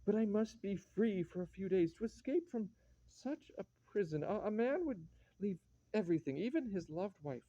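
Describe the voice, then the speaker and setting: An actor playing a weak, harried husband in a classic stage drama, exasperated and hesitant. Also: male voice, high voice, lively intonation, normal speed, dark tone, no echo, highs cut off. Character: weak, harried husband, henpecked by his mother.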